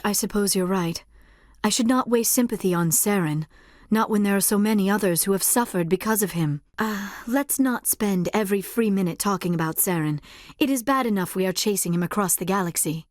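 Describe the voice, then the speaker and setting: An actress plays a young alien woman scientist from a video game, earnest and gentle in temper. Her voice sits at a middling pitch, with formal, measured delivery and careful diction. The recording is dry and close, with no room sound. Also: no echo, lightly acted. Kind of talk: scripted video game character dialogue.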